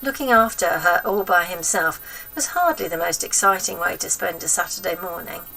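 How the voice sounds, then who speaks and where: Bright-voiced woman reading a children's story. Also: on the low side, normal speed, vocal fry, recorded from a distance, a little hiss or hum, poor audio. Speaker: storybook reader. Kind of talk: expressive children's story narration.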